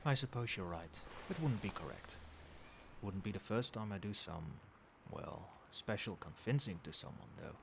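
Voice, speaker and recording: A man playing a doting yet controlling, quietly menacing boyfriend in a soft-spoken ASMR roleplay, coaxing tenderly with pet names. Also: deep voice, normal speed, creaky, exaggerated voice. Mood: attentive, then quietly threatening. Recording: steady background noise, night ambience. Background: rain and cricket sounds.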